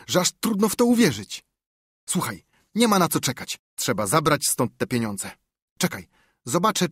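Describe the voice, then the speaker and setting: A man voicing an eager, bossy boy adventurer who talks with raised, breathless enthusiasm and a husky tone. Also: medium pitch, no echo, studio quality, pitched above the performer's own voice.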